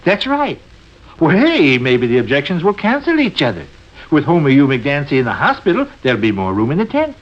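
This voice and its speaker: Pompous, swooping radio-comedy dad. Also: male voice, on the high side, wildly swooping pitch, a little fast. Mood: eager, boastful. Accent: American. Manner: pompous, florid wording. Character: excitable, pompous, easily exasperated small-town father. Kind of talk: 1940s radio comedy acting. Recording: muffled old radio recording.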